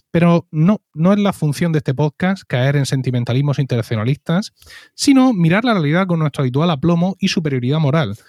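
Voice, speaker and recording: A man's voice: fairly high pitch, darkish tone, no room sound. A man with a Spain Spanish accent hosting a podcast, reading a scripted intro with dry, mock-pompous irony. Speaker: podcast host.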